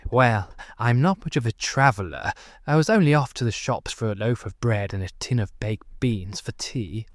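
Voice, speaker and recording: A man's voice: mid-range voice, animated, normal speed, no room sound, natural voice. A man plays a bumbling, polite husband from the suburbs in a comic audio drama. His mid-range voice hesitates and stammers awkwardly, full of flustered courtesy. The recording is dry. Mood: bashful. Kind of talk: comic audio play acting.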